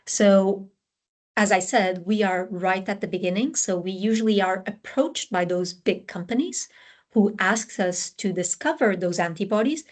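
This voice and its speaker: A woman from Portugal with a Portuguese accent, a scientist guest explaining her work and career in a relaxed interview, with frequent fillers and restarts. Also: mid-range voice, normal speed. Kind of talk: conversational interview answers.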